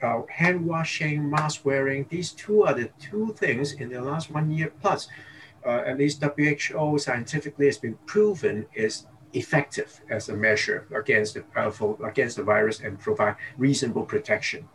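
A man's voice: medium pitch, normal speed, a bit bright, slight background noise, low-quality recording. A man from Hong Kong, a dentist, talking casually as an interview guest and tagging his points with "okay?" and "you know". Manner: chatty, peppers speech with "okay?" and "you know".

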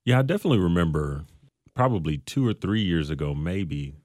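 A man's voice: low-pitched, big pitch swings, brisk, a little mellow, dry recording, clean studio sound. A man in his thirties co-hosting a podcast, easygoing and asking questions. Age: 30s. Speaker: podcast co-host. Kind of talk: casual chat.

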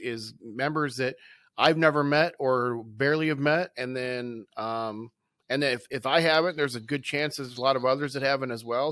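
A man hosting an interview podcast, easygoing and friendly as he asks his questions. His voice sits at an ordinary middle pitch. It sounds dry and close, with no room around it. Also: no echo. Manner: friendly, relaxed questioning with fillers. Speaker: podcast host.

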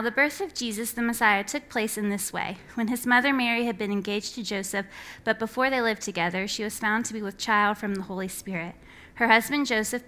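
A woman's voice: medium pitch, sharp tone. A woman reading a Bible narrative aloud from a written text. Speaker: scripture reader.

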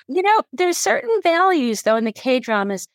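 A woman's voice: sits high, sing-song, moderate pace, dry recording, clean studio sound. A woman from the United States hosting a podcast in a casual, curious and reflective conversational way. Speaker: podcast host.

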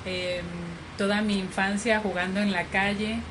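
A woman from Ecuador telling her story as an interview guest, in a slow, relaxed way. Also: medium pitch. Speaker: interview guest, coffee farmer. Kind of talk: conversational interview. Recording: noisy background ambience.

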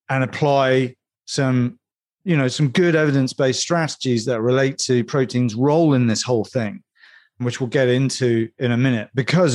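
A man co-hosting a discussion, setting up the topic in a measured, structured way. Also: mid-range voice, darkish tone. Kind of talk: conversational expert discussion.

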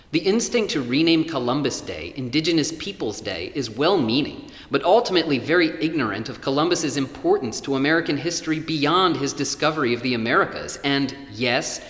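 A measured, self-assured man an actor in a radio play lectures like a conservative panelist. His voice is bright and forward, articulate, and rings through a long hall reverb. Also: fairly high pitch. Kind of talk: staged panel debate in an audio play.

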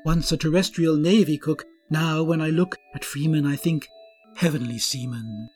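A man's voice: a little high, fairly even intonation, normal speed, darkish tone, faint music behind, close to an everyday voice. A man playing a grand, pompous starship captain who speaks in courtly rhymes. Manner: pompous, rhyming, courtly speech.

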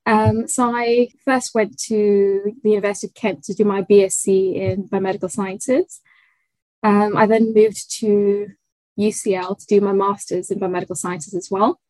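A young woman from Tanzania, a research fellow, answering interview questions in a thoughtful way, often pausing with fillers and restarting sentences. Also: medium pitch, mellow, dry recording, poor audio. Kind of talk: conversational interview.

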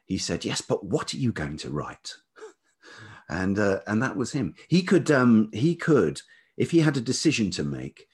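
A man's voice: on the low side, very animated, moderate pace, a little mellow, slightly rough. An older man, telling personal stories reflectively as an interview guest, in a hesitant, thoughtful way. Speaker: podcast guest, writer and musician.

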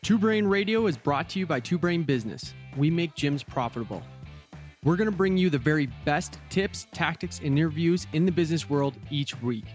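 A man's voice: fairly high pitch, animated, fairly quick, faint music behind. A man reading a scripted promo announcement in a polished announcer style.

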